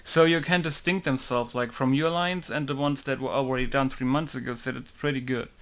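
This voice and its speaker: A man's voice: medium pitch, fairly even intonation, brisk, fairly bright tone. A man walking through a game modding tutorial, thinking aloud and rambling, often leaning on filler words like "basically" and "right?". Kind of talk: casual screen-recorded tutorial.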